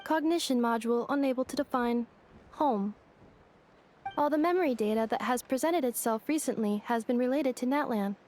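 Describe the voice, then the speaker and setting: A woman voicing a calm female android in a game, speaking in a flat, formal and precise way. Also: fairly high pitch, moderate pace, a little hiss or hum, natural voice. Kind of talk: game voice acting.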